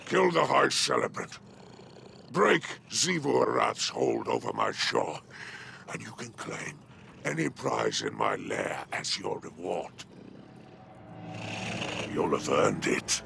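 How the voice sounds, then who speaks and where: An actor playing a sly, menacing crime lord in a video game, with a gravelly growl and theatrical, mocking courtesy. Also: male voice, sits low, wildly swooping pitch, sharp tone, a little hiss or hum, heavily acted. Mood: commanding. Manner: theatrical, drawn-out, mocking politeness. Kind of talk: dramatic video game voice acting.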